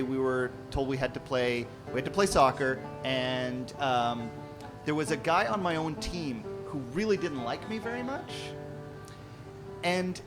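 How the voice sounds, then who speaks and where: A man casually telling a rambling personal story, acting out bits of dialogue, with guitar music playing behind him. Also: on the high side.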